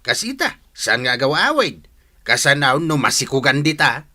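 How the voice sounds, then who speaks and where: A man playing a fretful middle-aged father in a radio comedy drama, scolding in agitation as his voice jumps high. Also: sing-song, moderate pace, pushed and bright, exaggerated voice. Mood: exasperated. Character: fretful father worried about his daughter.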